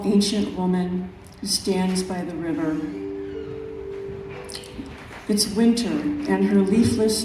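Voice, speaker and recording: A woman poet reading her own work at a live event, in measured, deliberate phrases with pauses. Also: medium pitch, background noise. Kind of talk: poetry reading at a live event, with brief spoken introductions. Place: echoey room at an arts event. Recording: very echoey room, distant mic, music.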